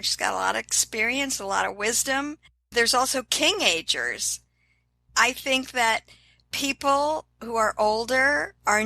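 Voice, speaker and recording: A woman's voice: mid-range voice, sharp tone, dry recording, low-quality recording. An older woman talking as an interview guest, upbeat and encouraging in a casual motivational tone.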